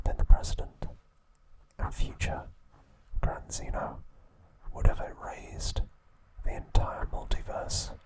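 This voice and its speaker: A man hosting an ASMR video, recapping a story in a soft, unvoiced whisper. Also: takes it slowly, poor audio. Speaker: ASMR host.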